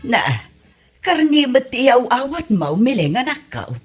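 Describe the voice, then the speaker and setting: A woman's voice: high-pitched, big pitch swings, unhurried, husky, faint music behind, heavily acted. A woman playing a nagging, suspicious mother in an Ilocano comedy drama podcast, speaking with an Ilocano accent. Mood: questioning.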